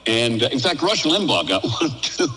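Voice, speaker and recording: A man's voice: mid-range voice, sing-song, normal speed, sharp tone, a little hiss or hum. An older man chatting casually over the telephone, telling folksy, good-humored anecdotes as a guest. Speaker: interview guest, radio veteran. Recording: phone call, reverberant.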